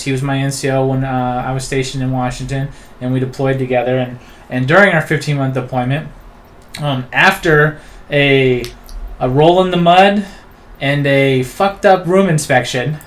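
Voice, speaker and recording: A man's voice: mid-range voice, steady tone, normal speed, slight background noise. A man in his thirties hosting a casual chat, talking in a loose, rambling way with teasing asides. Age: 30s. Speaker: host.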